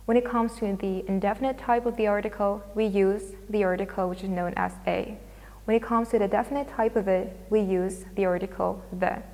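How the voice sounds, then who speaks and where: A woman lecturer teaches an English grammar lesson at a medium pitch. She explains step by step and reads her examples aloud. The recording has a long reverb tail. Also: fairly even intonation, fairly quick, darkish tone.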